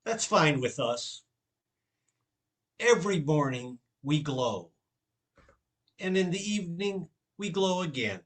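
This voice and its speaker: A man's voice: fairly high pitch, very animated, slow, pauses often, fairly bright tone. A man reciting poetry line by line.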